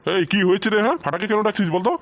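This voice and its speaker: Man doing a fast-talking cartoon grandfather. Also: high voice, no echo, clean studio sound. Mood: approving. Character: bossy but indulgent old grandfather. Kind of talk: amateur comic cartoon dub.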